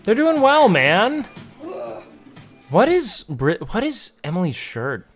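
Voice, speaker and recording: A man's voice: high-pitched, wildly swooping pitch, slow, soft background music. A young man streaming and chatting casually with his viewers, rambling with lots of filler words. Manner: rambling, filler-heavy, frequent "like". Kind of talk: casual chat with chat.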